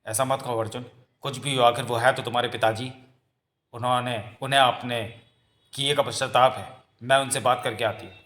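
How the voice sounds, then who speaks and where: Casting: male reader playing young village bride with a secret witchy side, coy, sweet, bright-edged voice, comic-book drama. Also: medium pitch, steady tone, brisk, natural voice. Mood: gentle.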